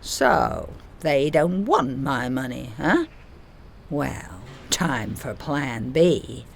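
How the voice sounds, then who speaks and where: A woman's voice: extremely low pitch, sing-song, very slow, gravelly, a little hiss or hum, exaggerated voice. A woman playing a scheming, haughty female corporate villain in an audio play, pompous and theatrical in manner. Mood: sinister scheming.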